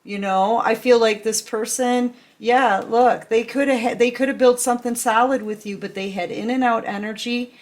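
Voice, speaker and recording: A woman's voice: a little high, fairly even intonation, normal speed, few pauses. Chatty tarot-reading woman, a bit sick. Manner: chatty, affectionate, many fillers and restarts. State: sick, throat-clearing. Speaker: tarot reader.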